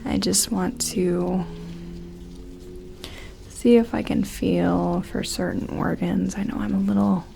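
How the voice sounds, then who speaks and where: A woman doing a gentle ASMR roleplay as a careful scientist examining an alien, speaking softly and often slipping into a whisper, hesitant and reassuring. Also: fairly low pitch, steady tone, slow, pauses often, slight background noise. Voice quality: soft, breathy, often dropping to a whisper.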